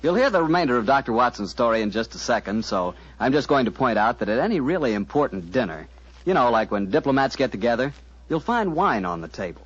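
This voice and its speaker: A man announcing on 1940s radio, reading scripted sponsor copy in a folksy, selling manner with a husky voice. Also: medium pitch, sing-song, fast talker, slight background noise. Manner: folksy, smooth-talking salesmanship. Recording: muffled old 1940s radio recording.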